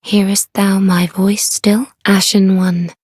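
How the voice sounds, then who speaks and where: A woman playing a gentle, devoted maiden in a fantasy video game, speaking archaic lines in a hushed, breathy, solemn way. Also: medium pitch, level tone, no echo, studio quality. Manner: slow, solemn archaic speech. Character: gentle, devoted, soft-spoken young maiden serving a lord.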